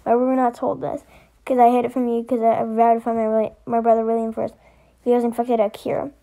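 A woman with a fairly high voice does amateur voice-over for a homemade animation. She reads character lines as acted dialogue. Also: monotone, quick pace, mellow.

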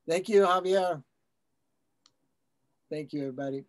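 A man with a fairly high voice chats informally in a very dry, close sound. He is appreciative and self-effacing, with a joking streak, as a poet relaxing after a reading. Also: moderate pace, no echo. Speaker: poet who has just given a reading. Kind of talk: casual chat after a poetry reading.

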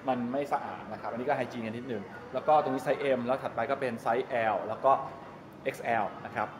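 A man's voice: medium pitch, fairly even intonation, fast. A middle-aged man, chatting casually and explaining things to a camera as he gives a walk-through tour. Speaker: vlogger giving a tour. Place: large open-sided go-kart pit hall. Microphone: 360 camera at arm's length. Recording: roomy echo, loud ambient noise.

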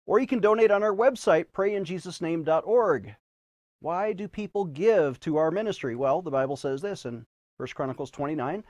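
A man's voice: fairly high pitch, normal speed, a little mellow, dry recording, clean studio sound. An American man in his fifties hosting a religious program, presenting and preaching in an emphatic, theatrical way. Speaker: host and preacher of a religious news show. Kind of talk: presenting viewer letters with preaching commentary. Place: TV studio. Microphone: lapel mic.

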